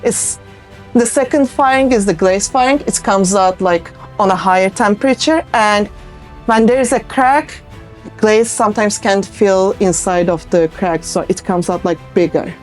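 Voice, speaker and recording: A woman's voice: medium pitch, moderate pace, faint music behind. A woman from Turkey with a Turkish accent, chatting informally as an artist interviewed about her work, often voicing her own thoughts as quotes. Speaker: ceramic artist, interview guest.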